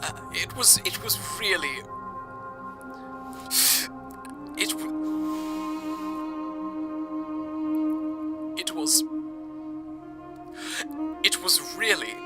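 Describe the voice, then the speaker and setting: A man's voice: high voice, big pitch swings, slow pace, full character voice. Man acting as an earnest radio storyteller over music. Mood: overwhelmed, reverent. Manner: earnest, reverent, descriptive. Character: awestruck, devoted radio broadcaster recounting a palace visit. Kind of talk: scripted first-person radio narration.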